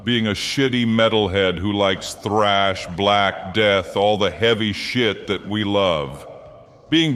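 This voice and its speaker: A man with a somewhat low voice reads a first-person forum story aloud. His delivery is plain and steady. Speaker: narrator reading forum posts. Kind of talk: scripted narration.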